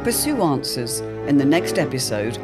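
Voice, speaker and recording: A female narrator delivers scripted, dramatic serial narration. Music plays clearly behind her voice. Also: a bass voice, big pitch swings, normal speed.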